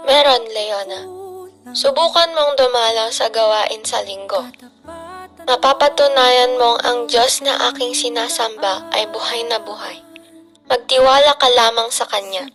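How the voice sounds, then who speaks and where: Teenage girl as consoling older Filipino widow, pious, creaky voice, radio drama. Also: on the high side, measured pace, fairly bright tone. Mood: devout, encouraging. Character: devout, comforting older widow who raised children alone. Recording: music behind the voice.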